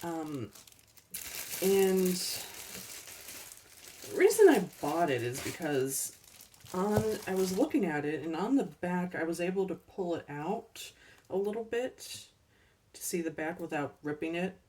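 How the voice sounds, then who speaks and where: Woman, slow easy talk, soft mellow tone, a little hoarse, set back from the mic. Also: lowish voice, sing-song, far from the mic, slight background noise. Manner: chatty, unhurried, trailing off with ums and laughs. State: slightly losing her voice. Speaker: collecting channel host. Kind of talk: casual thrift haul chat.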